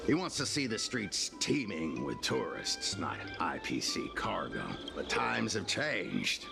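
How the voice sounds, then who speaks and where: Gravelly old shopkeeper, stern and stubborn, grumbling, widely swinging low pitch, game character. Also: male voice, big pitch swings, takes it slowly, heavily acted. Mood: grumbling, reflective. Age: older. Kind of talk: scripted game dialogue. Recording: game music behind the voice.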